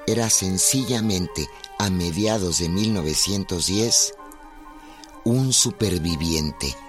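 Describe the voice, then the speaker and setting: An actor playing a grave, measured narrator of revolutionary history in a scripted radio drama. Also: male voice, low voice, music in the background.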